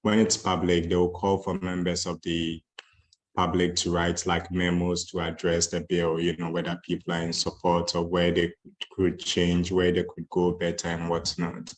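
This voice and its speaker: Man, deep, even-toned, relaxed conference speaker with lots of fillers. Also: normal speed. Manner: relaxed, many fillers like "you know". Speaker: conference speaker on moving to Ghana. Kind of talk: casual talk. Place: online video call.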